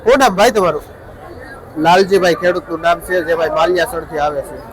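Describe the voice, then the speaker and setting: A man's voice: a little high, quick pace, poor audio. A man with a Saurashtra Gujarati accent giving matter-of-fact, repetitive vlog commentary on market prices. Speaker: market vlogger reporting produce prices. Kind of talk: on-the-spot vlog commentary with brief questions to vendors. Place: busy outdoor vegetable market. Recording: outdoor market with crowd and vehicle noise. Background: crowd chatter and traffic.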